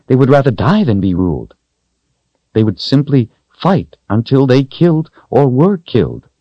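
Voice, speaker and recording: A man's voice: mid-range voice, very animated, normal speed, no room sound, highs cut off, pitched above the performer's own voice. A man voicing an earnest, knowledgeable woman in an audiobook, speaking with emphasis. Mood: emphatic disbelief. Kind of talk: audiobook character voice.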